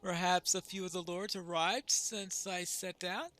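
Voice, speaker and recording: A man's voice: sits high, steady tone, measured pace, bright, forceful tone. A man narrating his video game playthrough in a casual, wry, musing way. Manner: wry, musing, mock-polite.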